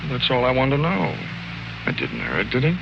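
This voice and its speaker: An actor playing a wry, wisecracking hard-boiled insurance investigator in a 1940s radio detective drama. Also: male voice, medium pitch, wildly swooping pitch, normal speed, background noise. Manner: sardonic, smooth-talking wisecracks. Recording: dull 1940s radio recording with music.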